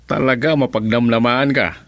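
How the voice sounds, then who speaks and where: A man's voice: medium pitch, steady tone, normal speed, fairly bright tone, no echo, studio quality, lightly acted. An actor playing a friendly, helpful city businessman in a Filipino radio drama, with a chuckling, conversational manner. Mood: cheerful, chatty.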